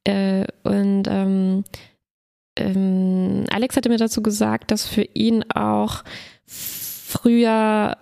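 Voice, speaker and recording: A woman's voice: medium pitch, monotone, very slow, vocal fry, warm tone, smooth. A woman talking in a casual conversation, explaining her thoughts with many fillers and agreeing hums.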